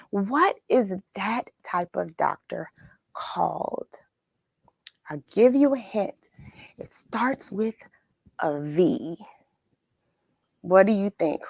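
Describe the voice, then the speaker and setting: A woman's voice: mid-range voice, gravelly, no echo. An American woman hosting a read-along for children, chatting with viewers, asking them questions and encouraging them. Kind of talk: chatty livestream greetings and story reading for children.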